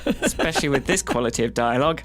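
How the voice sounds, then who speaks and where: A man co-hosting a casual podcast, chatting in a loose, rambling way and often restarting his thoughts. His pitch sits in the everyday middle for a man. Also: animated, fast talker, soft background music. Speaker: podcast co-host. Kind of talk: casual chat.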